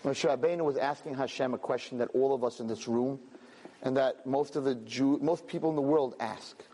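A man giving a lively Torah lecture to a live audience, acting out pleading voices and mixing in Hebrew terms. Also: medium pitch, brisk. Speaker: rabbi lecturer. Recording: long reverb of a hall.

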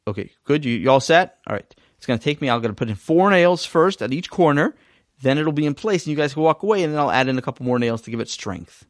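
A man voicing a calm, weary father who sighs and stays patient. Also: fairly high pitch, fast talker, no room sound, clean studio sound, lightly acted.

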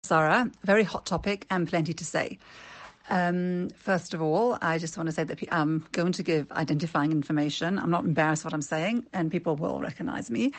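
A woman's voice: low-pitched, fairly quick. A middle-aged woman from England with a British accent touched by South African, speaking frankly in a casual voice note as a mother. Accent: British with South African tinge.

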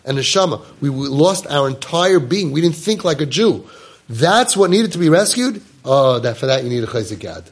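Husky-voiced rabbi giving an animated class. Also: male voice, fairly high pitch, normal speed. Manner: animated, mixes Hebrew and Yiddish words into English. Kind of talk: Torah class lecture.

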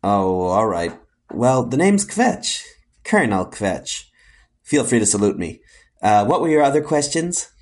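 Man acting a bumbling colonel, sheepish, self-important, hesitant, animated, children's audio comedy villain. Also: medium pitch, measured pace. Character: attention-starved, bumbling complainer colonel, sheepish and eager.

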